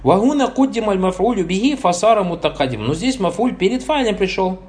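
A man's voice: sits high, animated, brisk, a little mellow. A man teaching Arabic grammar, reading lines from a text, translating them and asking his listeners short check questions as he goes. He drops blessing formulas between points and corrects his own slips.

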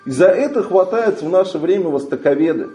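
A man's voice: high-pitched, expressive, quick pace, dark tone, reverberant, soft background music. A man teaching a religious lesson, reading a passage aloud and translating it phrase by phrase. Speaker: Islamic teacher.

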